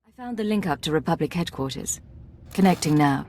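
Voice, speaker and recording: Cool, low-voiced secret agent. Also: female voice, animated, brisk, a little mellow, a little hiss or hum. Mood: guarded, demanding. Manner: clipped, cool. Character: guarded, no-nonsense female secret agent. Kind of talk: scripted video game acting.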